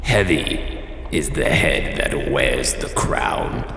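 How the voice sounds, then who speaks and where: Deep gravelly ominous male host. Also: takes it slowly, fairly bright tone, a little hiss or hum. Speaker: show host. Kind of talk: dramatic closing narration. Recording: echoey hall with thunder behind.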